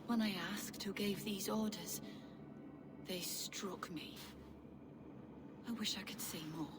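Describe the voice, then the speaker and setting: An actress playing a devoted, gently eerie medieval nursing sister in a video game, measured and courteous. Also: female voice, mid-range voice, steady tone, close to an everyday voice. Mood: guarded, regretful. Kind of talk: dramatic video game acting. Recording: long hall-like reverb.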